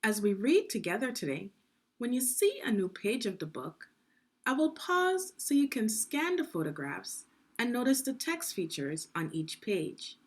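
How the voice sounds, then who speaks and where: A middle-aged woman reads a children's book aloud as a teacher, deliberately, pausing and posing questions to young listeners. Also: a little high, very animated. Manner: deliberate, questioning teacher delivery with clear pauses. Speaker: education consultant reading a children's book aloud.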